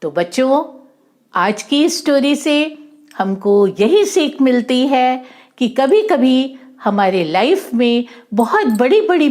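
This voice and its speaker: Lady storyteller for kids, high animated voice, takes her time, explains the moral, drops in english words. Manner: didactic, repeats and rephrases, mixes in English words. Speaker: children's storyteller. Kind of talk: informal moral storytelling for children.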